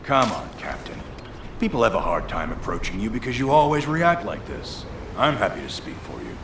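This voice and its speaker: A man's voice: on the low side, big pitch swings, normal speed, creaky, background noise. A man playing a cheerful, talkative senior construction worker who guides a video game mission. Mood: cheerful, eager. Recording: roomy echo, game music underneath.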